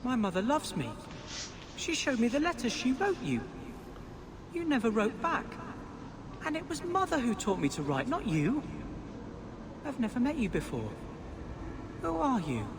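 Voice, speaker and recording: A man's voice: very high-pitched, very animated, moderate pace, long pauses, heavily acted. An actor playing a trusting, distressed boy in a fantasy game, pleading and emotional. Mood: hurt, confused. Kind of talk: fantasy video game acting. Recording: noisy game audio.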